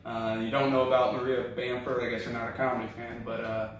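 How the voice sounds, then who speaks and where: A relaxed, chatty man hosts a casual beer tasting and fills his talk with ums and uhs. His voice sits at an ordinary pitch. It comes from some distance off the mic, in a very echoey room with a faint hum behind it. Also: fast. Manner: relaxed, chatty, many fillers. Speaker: comedy show host. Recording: roomy echo, from a distance.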